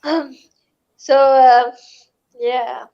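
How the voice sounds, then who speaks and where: A woman co-hosting a live online chat, asking slow, hesitant questions with many pauses. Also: high voice, fairly even intonation, vocal fry, highs cut off.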